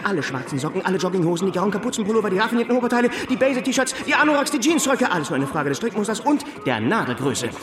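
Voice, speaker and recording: A manic male factory owner as tour guide in a satirical stage revue. His high voice swoops widely as he gushes and exclaims with showman flair. It sounds like a roomy theatre with an audience. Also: sing-song, racing, few pauses, a crowd in the background. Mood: chatty, enchanted. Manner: exaggerated showman, exclaiming and drawing out words.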